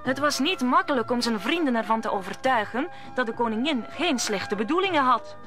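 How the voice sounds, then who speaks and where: A woman's voice: on the high side, expressive, brisk, music in the background. A woman narrating a radio play as a scripted story.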